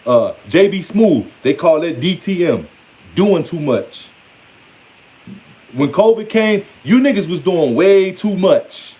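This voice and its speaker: A man in his forties with an American accent and a high voice speaks informally to his followers. His delivery is streetwise and emphatic, rambling from thought to thought and often slipping into quoted asides. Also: big pitch swings, slow pace, dark tone, rough recording. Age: 40s. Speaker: online speaker. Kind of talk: informal spoken monologue to followers.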